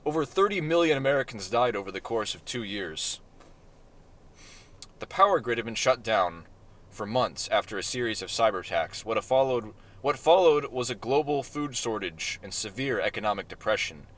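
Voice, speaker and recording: A man reading his own short story aloud in a deadpan manner, with self-mocking asides. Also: fairly low pitch, normal speed.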